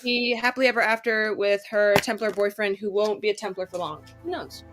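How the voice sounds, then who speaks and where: A woman's voice: medium pitch, fairly even intonation, fairly quick, music in the background. A woman playing a tabletop game, chatting casually and playfully in a slightly frazzled way. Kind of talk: casual chat, introducing her character.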